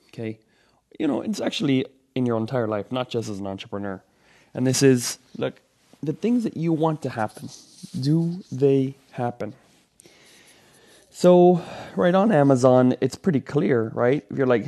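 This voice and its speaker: A man talks through his ideas in a rambling, coaching way, stopping often for fillers and restarts. His voice sits at an ordinary pitch, with a dark, mellow tone. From Venezuela. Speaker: podcast host. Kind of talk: solo motivational podcast talk.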